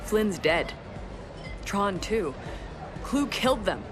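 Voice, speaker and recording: Casting: urgent, earnest young game heroine, expressive mid-range voice, loud score behind. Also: female voice, mid-range voice, slow, over music. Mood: grave, urgent. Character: determined, urgent young female resistance fighter in digital world. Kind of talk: video game cutscene acting.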